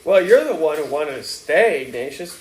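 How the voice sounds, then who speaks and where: A man's voice: on the high side, big pitch swings, moderate pace, roomy sound, slight background noise, low-quality recording. A man reading aloud and voicing a chatty, doting middle-aged Southern mother in a gushing, folksy way. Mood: doting chatter.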